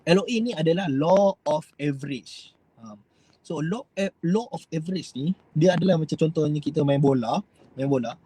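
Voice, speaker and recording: A man's voice: on the high side, normal speed, mellow, husky, dry recording. A young Malaysian man with a Malaysian Malay accent, giving a rambling, hesitant motivational talk to his viewers. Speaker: host.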